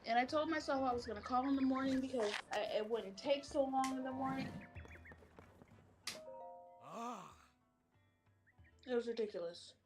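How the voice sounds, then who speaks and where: A woman in casual stream chat, venting in an animated way and acting out the voices she quotes. She says her natural voice is deeper and that she pitches it up. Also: moderate pace, long pauses. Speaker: stream co-host. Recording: music playing behind.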